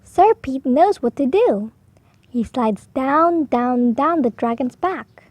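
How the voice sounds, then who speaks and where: A woman reading a children's picture book aloud slowly and clearly, with expressive delivery for beginner readers. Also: sits high, no room sound. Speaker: storybook reader. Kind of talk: slow children's read-aloud.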